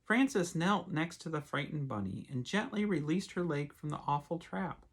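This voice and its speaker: A man, a pastor, reading and talking to children in an expressive storytime style. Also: fairly high pitch, moderate pace, breathy edge. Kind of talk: expressive storybook read-aloud with casual asides.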